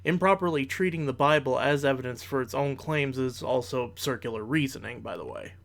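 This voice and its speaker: A man giving argumentative reaction commentary in a measured, analytical way with formal wording. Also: mid-range voice.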